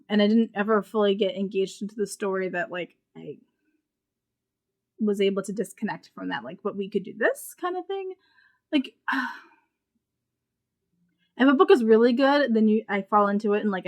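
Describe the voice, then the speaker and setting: Woman giving a casual book review. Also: medium pitch. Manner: informal, thinking aloud in loose, rambling sentences.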